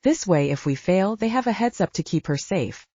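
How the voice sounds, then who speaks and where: An easygoing young male werewolf from an audiobook romance, played by a woman narrator. He is playful and reassuring, with a soft mellow tone and very mobile pitch, recorded dry and slightly dull. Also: expressive, moderate pace, no echo, lightly acted. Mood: earnest.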